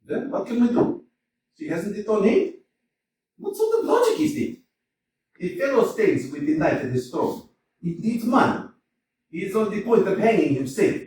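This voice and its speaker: A furious, blustering landowner, played by a man in a stage reading, lurching between muttering and bellowing. His voice sits high and swings wildly. He sounds far from the mic in a very echoey theater with a faint hiss. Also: very animated, normal speed, pauses often. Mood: ranting. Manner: ranting, exclamatory, swinging between mutters and shouts. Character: irate middle-aged male landowner chasing a debt, blustering. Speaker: actor in a community staged reading. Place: small community theater stage.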